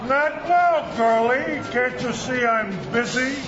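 A surly, drunken Santa who grumbles and blusters in an audio drama. His voice is very high for a man and drags slowly. It is echoey, with a crowd behind. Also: dull audio. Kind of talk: full-cast audio drama acting.

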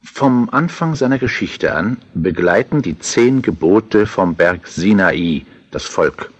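A man's voice: lowish voice, expressive, slow, slightly rough, muffled recording. A man giving earnest, instructive scripted narration for an audiobook.

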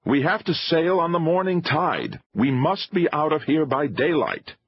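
A man's voice: a little high, lively intonation, measured pace, muffled recording, voice pushed up. A man voicing an impatient, domineering film-making adventurer in his thirties, emphatic and insistent, in audiobook dialogue. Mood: exasperated, insistent. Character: impatient, domineering thirty-five-year-old male adventurer film-maker.

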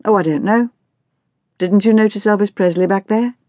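Woman as a measured, mildly exasperated mother. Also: medium pitch, dark tone, dry recording, voice pushed up, lightly acted. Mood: wry. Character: orderly, patient, mildly exasperated mother of a teenager. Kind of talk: acted audiobook dialogue.

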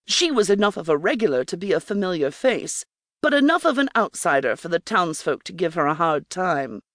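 A woman's voice: on the low side, no echo, studio quality. A woman narrating a scripted fiction audiobook.

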